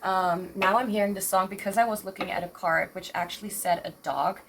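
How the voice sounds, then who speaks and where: A woman in her twenties doing a tarot reading, with a slightly raspy voice and a hesitant, rambling way of talking full of fillers. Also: medium pitch, steady tone, fairly quick, a bit bright, slight background noise. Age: 20s. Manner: hesitant, rambling, self-interrupting with many fillers. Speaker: tarot reader.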